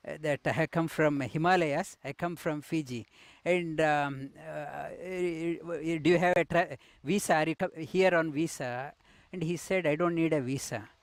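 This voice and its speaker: A middle-aged man from India, a yoga teacher, telling his life story with many pauses, fillers and restarts. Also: fairly high pitch, no echo. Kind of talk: personal life story interview.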